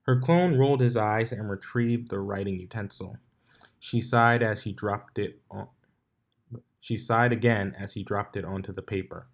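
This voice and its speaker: A man's voice: fairly low pitch, steady tone, normal speed, creaky. A man reading a story aloud as narrator, sometimes stumbling and rereading his words.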